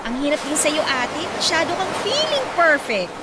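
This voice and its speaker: An actress playing a hot-headed, defensive young daughter and band musician in a Tagalog radio drama, speaking emotionally. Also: female voice, sits high, big pitch swings, moderate pace, heavy background noise. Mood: defensive, irritated. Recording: loud music under the dialogue.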